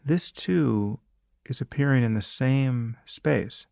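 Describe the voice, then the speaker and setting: A man leading a guided meditation as a teacher, speaking calmly and deliberately in short phrases with long pauses. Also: mid-range voice, creaky, no echo, studio quality. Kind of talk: guided meditation, spoken instruction.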